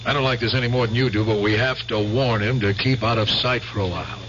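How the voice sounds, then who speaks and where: An actor playing a cautious, practical war veteran in an old-time radio drama, with a pressing, insistent manner. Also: male voice, fairly low pitch, steady tone, fast, a bit bright, a little hiss or hum, lightly acted. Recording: dull, humming old radio recording.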